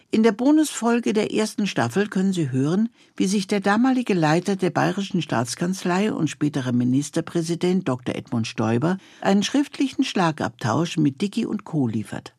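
A man's voice: high voice, big pitch swings, normal speed, creaky, darkish tone, slightly rough, no echo, clean studio sound. A man giving a scripted introduction as presenter of a radio drama episode.

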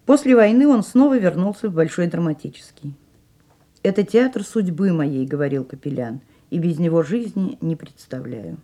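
A woman's voice: on the low side, animated, normal speed, dark tone. A woman hosting a radio programme as a theatre critic, delivering scripted narration.

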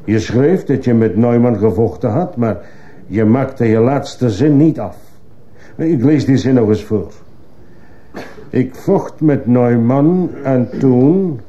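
A man as a very dark-voiced, stern judge. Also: medium pitch, slow, lightly acted. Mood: stern, probing. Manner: insistent, clipped questioning. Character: stern, probing male judge questioning in court. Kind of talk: radio drama acting. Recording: muffled, hissy old radio play recording.

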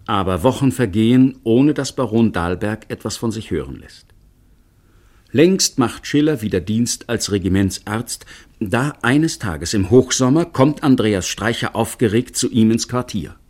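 Male narrator for scripted audio drama: lowish voice, measured storytelling with dramatic weight. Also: expressive, darkish tone.

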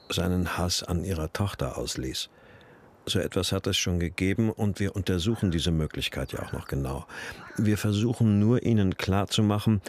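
Deep-voiced, businesslike police detective. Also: male voice. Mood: patient, frank. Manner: measured, explanatory, businesslike. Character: matter-of-fact, patient male police detective. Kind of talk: naturalistic radio crime drama acting.

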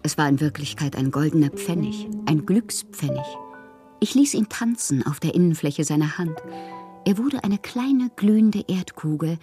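Radio play casting: a moody, fanciful woman poet with a lowish voice, theatrical and expressive, reading intimate letters. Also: moderate pace, over music. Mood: dreamy, intimate. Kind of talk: literary radio drama, dramatic letter reading.

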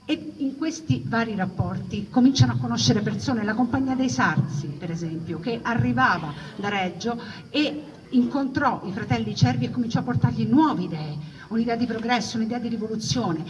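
A woman's voice: a little high, expressive, normal speed. A woman telling a story theatrically, as in a stage monologue, with dramatic, building repetition. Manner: dramatic delivery with repeated, building phrases. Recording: noisy, distant, dull lo-fi recording.

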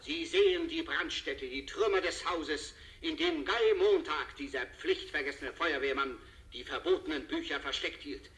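An actor playing a sensationalist TV news reporter, giving emphatic, theatrical live manhunt commentary in a 1970s German radio drama. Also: male voice, fairly high pitch, lively intonation, normal speed, fairly bright tone, low-quality recording. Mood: excited, dramatic. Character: sensationalist male TV news reporter, excited, menacing.

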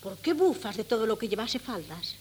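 Radio play casting: fretful, doting mother of a grown son, higher-pitched, theatrical, on humming old cassette. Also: big pitch swings, normal speed. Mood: indignant, bewildered. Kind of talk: 1970s radio drama acting. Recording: noisy old cassette radio recording with hum.

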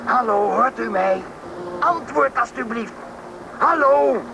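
A patient, kindly rescue radio operator in an old radio drama. His pitch is very high and his delivery emphatic, with clear noise behind him. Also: animated, takes it slowly, dull audio, exaggerated voice. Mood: tense, patient. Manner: emphatic, repeating calls, kindly. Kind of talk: radio play acting. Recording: noisy old radio play, vehicle rumble.

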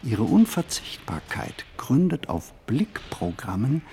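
An actor playing a brooding, introspective flaneur in a theatrical monologue, musing deliberately and shifting between emphatic and hushed delivery. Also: male voice, mid-range voice, sing-song, gravelly, a little hiss or hum, exaggerated voice. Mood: reflective. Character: brooding, introspective male flaneur wandering his city. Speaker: stage actor.

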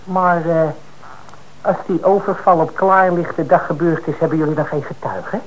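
A man's voice: a little high, fairly even intonation, moderate pace, close to an everyday voice. An actor playing a defensive working-class suspect in a Dutch radio drama, speaking colloquially as he protests his innocence. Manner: colloquial, hesitant, then indignant. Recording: noisy old radio broadcast recording.

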